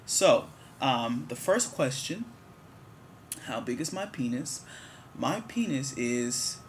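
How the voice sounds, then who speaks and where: A man's voice: medium pitch, expressive, takes it slowly, slight background noise. Candid rambling young man vlogging. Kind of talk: casual talk to camera.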